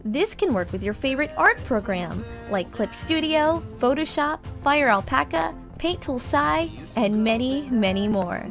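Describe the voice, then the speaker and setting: A woman's voice: high voice, animated, measured pace, few pauses, fairly bright tone, faint music behind. A woman channel host reading a scripted promotional narration for a sponsor.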